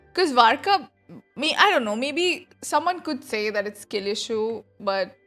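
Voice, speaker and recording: A woman streamer with a high voice reacts casually to a game. She is playful and slangy, often exclaiming. Game music plays softly underneath.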